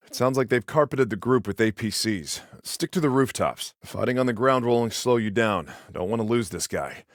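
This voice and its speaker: Man acting protective American older brother, very expressive. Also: mid-range voice, normal speed, no room sound, close to an everyday voice. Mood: focused, informative. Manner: earnest, urgent, dryly sarcastic. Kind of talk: naturalistic video game voice acting. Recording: dry isolated game voice lines.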